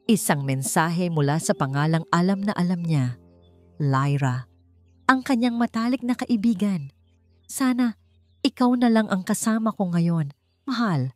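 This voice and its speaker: A woman with a Filipino accent narrating a scripted romance story in a dramatic, deliberate way. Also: on the low side, a little mellow.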